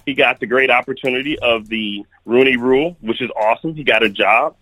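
A man's voice: medium pitch, brisk, bright, forceful tone, no echo, rough recording. Blunt, opinionated man on a remote call. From Kalamazoo, Michigan. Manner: blunt, opinionated, talks in restarts. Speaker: guest sports commentator. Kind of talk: casual sports podcast chat.

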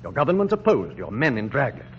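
Courtly, haughty general, expressive delivery. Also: male voice, mid-range voice, quick pace. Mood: dismissive, urbane. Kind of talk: theatrical old radio drama. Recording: dull, hissy old radio broadcast.

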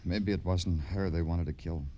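An actor playing a world-weary, brooding police detective in an old radio crime drama, speaking in terse, hard-boiled lines. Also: male voice, sits low, steady tone, moderate pace, mellow, lo-fi sound, slight background noise, natural voice. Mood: terse, probing. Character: world-weary male police detective, brooding, narrating his own cases. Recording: old radio broadcast with music.

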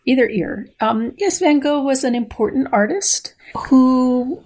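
A woman's voice: sits high, expressive, a little slow, a little mellow. Precise, playful middle-aged woman explaining science. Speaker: guest scientist reading a research paper. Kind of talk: dramatic reading and explanatory conversation.

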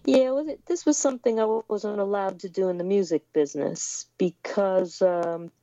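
A woman's voice: mid-range voice, normal speed, mellow, dry recording, muffled recording. A woman raised in the United States, a musician guest in a remote interview, talking hesitantly with many fillers and restarts.

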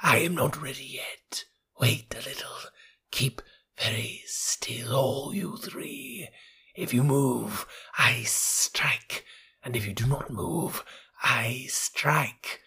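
A man voicing a cold, vengeful female cobra in a slow, hissing whisper. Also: medium pitch, expressive, gravelly, bright, forceful tone, husky, pitched above the performer's own voice, full character voice. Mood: threatening. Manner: slow, drawn-out threats.

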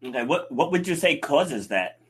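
A male podcast host with a husky, breathy voice pitched a touch high. He asks his questions plainly and fills the gaps with short affirmations like "okay" and "nice.". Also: big pitch swings, normal speed. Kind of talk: interview hosting.

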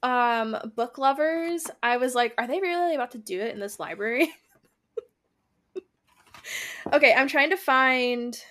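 A woman with a fairly high voice talks as a podcast co-host. Her delivery is casual and unscripted, with a giggly, animated way of telling stories. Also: measured pace, pauses often. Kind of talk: casual unscripted book chat.

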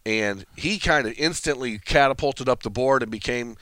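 A man talks through college football recruiting with quick, assured analysis and a dry wit. His voice is smooth and clear, recorded close and dry with no room sound. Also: mid-range voice, pushed and bright, no room sound. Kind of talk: casual sports talk.

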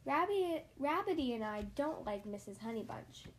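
A woman's voice: high-pitched, sing-song, normal speed, slight background noise, poor audio. A woman voicing an anxious little boy in a storybook, speaking in a pleading, childlike way. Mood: worried. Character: anxious little boy, sulky but brave.

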